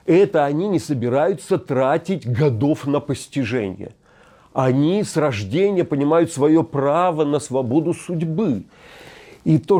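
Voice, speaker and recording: Wry, mellow-voiced male host. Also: a little high. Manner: wry, ironic, reflective. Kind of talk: scripted monologue commentary. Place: hotel room.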